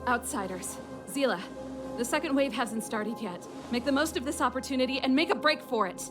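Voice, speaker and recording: A resolute, caring older sister from a video game, played by a woman. Her voice sits a little high and speaks with spirited conviction. Loud music plays behind her. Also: brisk, lightly acted. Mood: urgent, concerned. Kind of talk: English game voice acting, cutscene dialogue.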